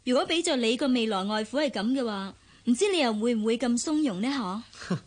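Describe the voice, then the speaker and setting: Earnest, high-voiced young Hong Kong daughter. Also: female voice, expressive, fairly quick. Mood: resentful. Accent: Hong Kong Cantonese. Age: young adult. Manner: earnest, questioning, candid. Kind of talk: Cantonese radio drama acting.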